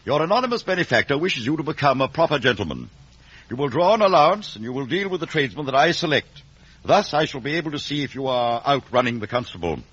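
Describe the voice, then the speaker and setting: An actor playing a brusque, domineering Victorian lawyer in a 1950s radio drama, clipped and imperious, on a muffled old recording. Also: male voice, mid-range voice, big pitch swings, quick pace, a bit bright, slightly rough. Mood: curt, commanding.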